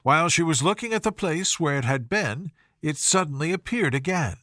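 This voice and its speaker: A man narrating a bedtime story in a soothing, gentle, measured way. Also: a little high, expressive, no room sound, studio quality.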